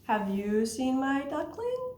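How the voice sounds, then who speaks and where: A woman voicing a worried mother duck in a picture book, with drawn-out, pleading questions. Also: high voice, huge pitch swings, darkish tone, voice pushed up, exaggerated voice. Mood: worried, searching. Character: worried mother duck searching for her lost duckling. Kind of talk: picture book read-aloud. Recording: roomy echo, faint background noise.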